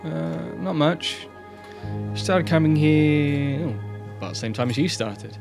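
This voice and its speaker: Actor as a kindly shelter manager over music. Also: male voice, fairly high pitch, slow, creaky. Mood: thoughtful, sympathetic. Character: calm, kindly man running a homeless shelter. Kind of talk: radio drama dialogue. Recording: music behind the voice.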